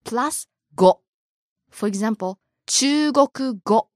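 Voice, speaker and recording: A woman from Japan, speaking, leads a podcast language lesson. Her somewhat high voice moves in lively leaps of pitch as she gives example phrases. The sound is close and dry, with no room around it. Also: takes it slowly, dry recording. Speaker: Japanese teacher.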